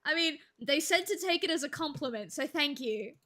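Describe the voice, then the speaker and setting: A young woman, chatting casually and rambling to viewers on a live stream, with a slight gravel in her voice when she talks quietly. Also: sits high, expressive, brisk. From Australia. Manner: chatty, rambling, laughs easily, thinks aloud.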